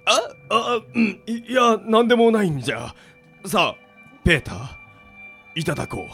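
Man acting a high, gravelly, excitable old-man impostor. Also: normal speed, faint music behind, full character voice. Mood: flustered, earnest.

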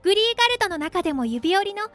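Extremely high-voiced, polite little demon wizard girl. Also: very animated, quick pace, fairly bright tone, no echo, faint music behind, heavily acted. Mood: earnest, shy. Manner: polite, earnest, flustered. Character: eager, polite young demon-race girl wizard, easily flustered. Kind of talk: anime-style radio drama acting.

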